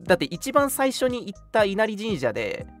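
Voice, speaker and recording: A man in his twenties chats as a radio co-host, his pitch moving in lively swings. The talk is loose, friendly banter, with music playing behind it. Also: normal speed. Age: 20s. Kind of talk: casual banter between friends.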